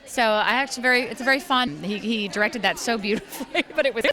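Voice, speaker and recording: Bubbly, bright-voiced woman actress. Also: on the high side. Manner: bubbly, chatty, quick to laugh. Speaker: voice actress and singer. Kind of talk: convention interview.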